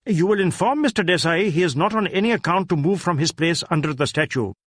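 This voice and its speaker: Commanding Indian superintendent put on by a male narrator: higher pitch, crisp official orders, stern, dry crime audiobook sound. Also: expressive, fast talker, fairly bright tone, no echo.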